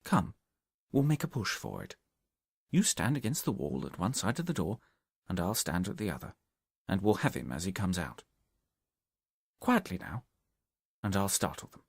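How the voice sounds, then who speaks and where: Man reading as a confident, deliberate male detective. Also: sits low, sing-song, creaky, slightly rough, no room sound, studio quality, full character voice. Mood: quiet urgency. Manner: reasoning aloud, deliberate, commanding. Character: confident, brisk, methodical male detective giving instructions. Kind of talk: audiobook character dialogue from a Victorian detective story.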